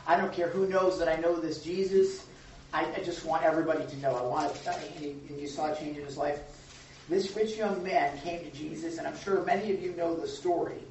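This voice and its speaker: A man's voice: on the high side, fairly quick, darkish tone, a little hiss or hum. A man leading an informal Bible study, talking to the group and asking questions, with a frequent chuckle. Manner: conversational, asks the group questions, chuckles often. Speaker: pastor. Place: church room with a group. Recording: echoey room from far off, dull and lo-fi.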